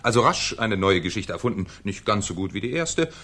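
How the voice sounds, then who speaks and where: An actor playing a shrewd, ironic French police commissioner in a 1970s German radio crime drama, with a theatrical, needling manner. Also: male voice, fairly low pitch, sing-song, brisk, pushed and bright, exaggerated voice. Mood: sardonic, needling.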